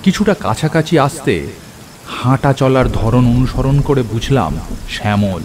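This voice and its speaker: A man playing an earnest, excitable friend who tells a cartoon horror story in the first person, in an expressive, theatrical way. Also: medium pitch, unhurried, a crowd in the background, lightly acted. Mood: atmospheric, pensive. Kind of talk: dramatic scripted first-person horror narration. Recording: clear rain and thunder over voice. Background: rain and thunder effects.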